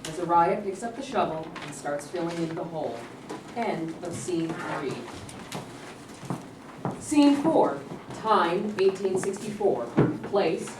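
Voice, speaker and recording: A woman with a slightly low voice reads stage directions for a play. Her scripted narration is recorded from a distance in an echoey room with clear background noise.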